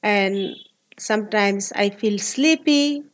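A woman in her forties from Bangladesh with a Bangladeshi accent, speaking earnest, halting English as an interview guest. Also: medium pitch. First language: Bengali. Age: 40s. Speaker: guest, labor activist.

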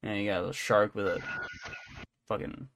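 A man's voice: fairly low pitch, little pitch movement, fairly quick, very noisy. A man co-hosting a podcast, chatting casually about entertainment news in an irreverent, joking, sometimes profane way. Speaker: podcast co-host.

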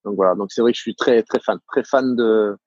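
A middle-aged man from the south of France with a southern French accent, answering interview questions in a halting way, with many fillers and repeated words. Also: lowish voice, a little mellow, no echo, muffled recording. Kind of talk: casual interview talk. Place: living in the United States.

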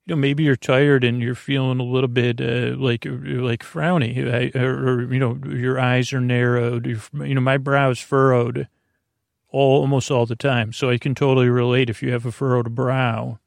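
Creaky-voiced man rambling softly at bedtime. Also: mid-range voice, flat delivery, moderate pace, dry recording, clean studio sound. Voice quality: creaky, soft and lulling. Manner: rambling and goofy, with many fillers and restarts. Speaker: sleep podcast host. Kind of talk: meandering bedtime talk to help listeners sleep.

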